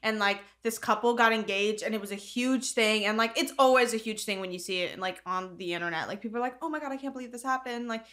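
A woman's voice: fairly high pitch, fast talker, hardly any pauses, fairly bright tone. A young woman co-hosting a podcast in casual comedic chat, rambling and slangy, with lots of "like" and swearing. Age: young adult. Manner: rambling, slangy, heavy use of like, frequent swearing. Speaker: podcast co-host.

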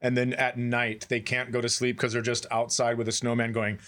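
A man's voice: medium pitch, completely flat delivery, a little fast, a bit bright. A man co-hosting a comedy podcast, riffing casually and profanely and acting out bits.

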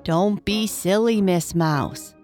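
A woman's voice: medium pitch, slow, a little mellow, faint music behind, close to an everyday voice. A woman voicing a blunt but reassuring nodding toy donkey from a children's storybook, with a braying tone. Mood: matter-of-fact, reassuring.

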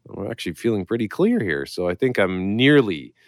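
A radio host, talking softly from his apartment while getting over a mild cold. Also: very animated, a little fast, no echo, clean studio sound. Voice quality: deep. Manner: quiet, low-key, speaking softly. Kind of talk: morning radio talk. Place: his apartment, working from home.

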